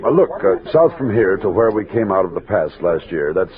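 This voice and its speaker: Brisk, animated American Army captain. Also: male voice, low voice, a crowd in the background. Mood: patient, explaining. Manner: clipped orders, plain-spoken questions. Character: brisk, commanding, kindly male Army captain explorer. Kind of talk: radio drama acting. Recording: muffled old radio recording.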